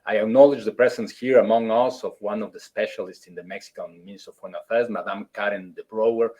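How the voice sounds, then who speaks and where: A man, a consulate official, giving formal opening remarks at a webinar over a video call, halting and full of fillers. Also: fairly low pitch, a little mellow, husky. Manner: halting, many fillers and restarts, formal phrasing.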